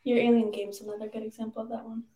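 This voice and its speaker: A woman's voice: a little high, level tone, very fast, dark tone. A woman chatting casually as part of a podcast conversation.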